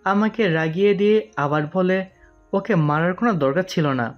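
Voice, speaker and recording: A woman acts a blunt young man with a quick, protective temper in an audio story. The voice is lowish, the sound slightly muffled, with music faintly behind. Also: close to an everyday voice. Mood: indignant, defiant. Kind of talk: audio-story dialogue acting.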